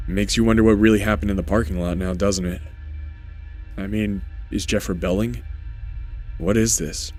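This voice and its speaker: A man's voice: on the low side, fairly even intonation, moderate pace, pauses often, darkish tone, faint music behind, close to an everyday voice. A man playing a troubled, puzzled suburban father in an audio drama, speaking in a subdued way. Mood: puzzled, worried. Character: troubled suburban father, doubting his son.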